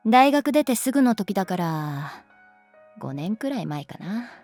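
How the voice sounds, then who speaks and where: An actress playing a calm, guarded young schoolteacher in a Japanese audio drama, speaking softly and frankly. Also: female voice, medium pitch, no room sound, faint music behind. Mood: wistful, regretful. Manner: unhurried, soft-spoken, frank. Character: adult female homeroom teacher, calm, guarded, gently detached. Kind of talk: Japanese anime-style audio drama acting.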